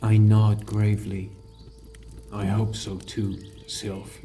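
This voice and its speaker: Guy playing calm caring adventurer telling his fantasy tale, low mellow voice, music under it. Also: slow pace. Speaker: narrator and lead character. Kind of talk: scripted first-person audiobook narration.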